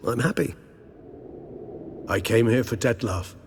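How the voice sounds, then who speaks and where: A man playing an erudite, courteous vampire in a video game, a husky voice and wry, ornate phrasing. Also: mid-range voice, measured pace, a little hiss or hum. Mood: earnest. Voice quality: husky, creaky. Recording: long reverberant echo.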